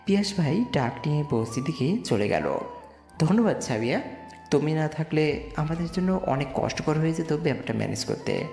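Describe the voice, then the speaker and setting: Male storyteller for audio drama: lively melodic swings, scripted first-person narration, heavy reverb. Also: fairly high pitch, normal speed, creaky. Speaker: narrator and lead character in an audio love story. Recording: echoey reverb with music behind. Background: music.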